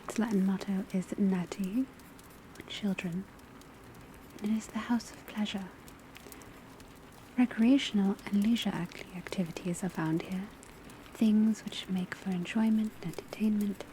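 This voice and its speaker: A woman acts out a calm witch school divination professor in an ASMR classroom roleplay. The voice is soft, mellow and mostly whispered, giving the lesson slowly and deliberately. A faint patter of rain sits behind it. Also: medium pitch, a little hiss or hum, natural voice, rough recording. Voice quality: soft, breathy, largely whispered. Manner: measured, deliberate, instructive. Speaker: teacher lecturing a class. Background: faint patter of rain on rooftop.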